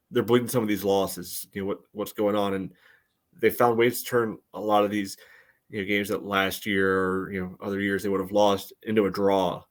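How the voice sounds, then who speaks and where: Male podcast co-host and club historian, lower register, casual but considered, feels his way through each point. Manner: halting, hedging, thoughtful. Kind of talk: casual sports podcast chat.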